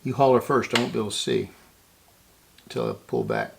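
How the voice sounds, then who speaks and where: A man's voice: mid-range voice, fairly even intonation, brisk, vocal fry, noisy background. A man giving casual hands-on repair narration, thinking aloud and often correcting himself as he works. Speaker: host of a radio repair channel.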